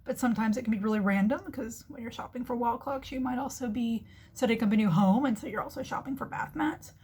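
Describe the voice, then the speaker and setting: A woman's voice: a little high, quick pace, darkish tone, husky. A woman giving casual, chatty explanatory business commentary as the host, acting out little imagined remarks as she goes. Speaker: host of a marketing commentary show.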